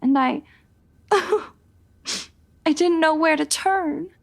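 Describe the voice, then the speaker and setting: A woman's voice: high-pitched, extremely slow, gravelly, exaggerated voice. A woman playing a scheming noir dame in an old-time radio drama. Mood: pleading.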